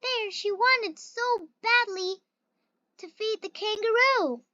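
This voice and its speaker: A reader with an expressive, carefully phrased delivery, reading a children's picture book aloud. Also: very high voice, slow pace, no echo, dull audio. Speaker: story reader.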